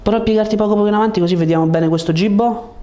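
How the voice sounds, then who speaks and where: A man in his thirties speaking as a chiropractor, giving short, gentle, reassuring instructions to a patient in a calm clinical way. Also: sits high, racing. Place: indoor chiropractic office. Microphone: lapel mic. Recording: faint background room noise.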